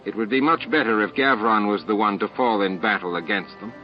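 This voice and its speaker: A man's voice: lowish voice, fairly quick. A man reading a novel aloud as a scripted audiobook narration, on a dull lo-fi recording with music behind him. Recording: dull, lo-fi cassette-like sound. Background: background music.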